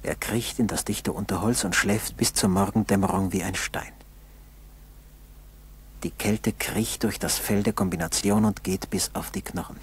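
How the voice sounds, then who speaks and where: An actor playing a solemn narrator who gives terse present-tense commentary in a 1960s German radio play. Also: male voice, low-pitched, fairly even intonation, normal speed, slightly rough, full character voice. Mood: grave. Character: grave male narrator urgently observing a struggling man.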